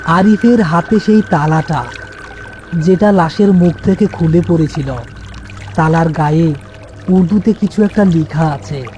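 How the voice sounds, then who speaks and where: Man, scripted horror narration, soft dark timbre, high voice, faint music underneath. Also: measured pace.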